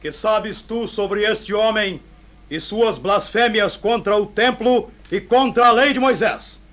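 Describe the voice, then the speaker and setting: An actor playing a stern, pompous high priest presiding over a trial in a declamatory religious radio drama. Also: male voice, takes it slowly, fairly bright tone. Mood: stern, accusing. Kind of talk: old-fashioned religious radio drama acting.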